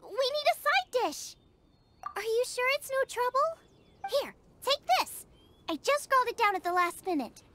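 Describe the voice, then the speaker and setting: A woman's voice: very animated, normal speed, noisy background. A woman voicing a cheerful, passionate young girl chef in an anime-style video game, with a girlish, enthusiastic delivery. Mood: busy, upbeat. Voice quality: high, girlish, bright. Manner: perky, enthusiastic, confident. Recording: music behind the voice.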